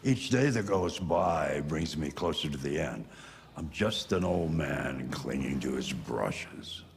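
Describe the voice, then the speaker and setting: An actor playing a frail, wise, fatherly old painter in a video game, gravelly and reflective, gently commanding. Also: very deep voice, animated, slow pace, a little hiss or hum, full character voice. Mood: weary, reflective. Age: older. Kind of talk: naturalistic video game acting. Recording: music under the voice.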